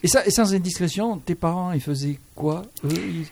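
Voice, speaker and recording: A radio host, a man, talks in a reflective, musing way and drifts into lyrical asides. His voice sits fairly high for a man, and the studio recording is dry with no room sound. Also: normal speed, gravelly, darkish tone, no room sound. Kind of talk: radio interview.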